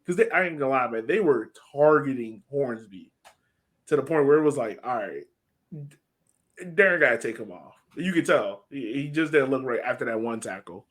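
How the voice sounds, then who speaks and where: A man's voice: mid-range voice, animated, normal speed. An American man co-hosting a sports podcast, talking casually and conversationally with informal, slangy phrasing. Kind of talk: casual soccer match breakdown chat.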